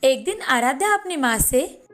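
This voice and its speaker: A woman's voice: sits high, expressive, normal speed. A woman reading a scripted children's story as narrator. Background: faint music.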